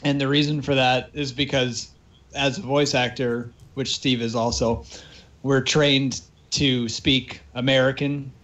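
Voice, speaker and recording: A man's voice: medium pitch, level tone, unhurried, fairly bright tone. A man from Canada, a voice actor co-hosting a podcast, chatting casually and explaining at length. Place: Vancouver.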